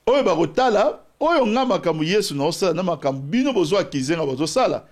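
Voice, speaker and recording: A man's voice: high-pitched, a little fast. A man from the Congo with a Congolese accent, a political guest arguing his case in a debate and quoting scripture. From DR Congo, Bas-Congo. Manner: animated, argumentative, cites scripture.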